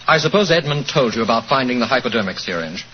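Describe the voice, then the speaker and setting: A man's voice: mid-range voice, expressive, quick pace, lightly acted. An actor playing a young man in a 1940s radio mystery, explaining things in an earnest, hesitant way. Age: young adult. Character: young man, troubled cousin hoping to marry. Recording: lo-fi 1940s radio broadcast with clear hiss.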